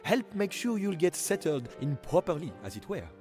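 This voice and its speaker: Concierge, male reader as effusive, formal Frenchman with French accent; audiobook dialogue, very animated intonation. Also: sits high, moderate pace, soft background music, voice pushed up. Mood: courteous, welcoming. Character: courteous, eager French male hotel concierge.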